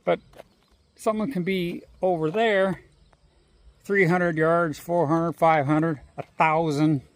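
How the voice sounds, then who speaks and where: A man vlogging casually while walking outdoors, rambling and swearing freely, with long pauses. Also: on the high side, fairly even intonation, no echo. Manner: rambling, profane, drawn-out pauses. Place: outdoors on a walk. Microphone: handheld phone.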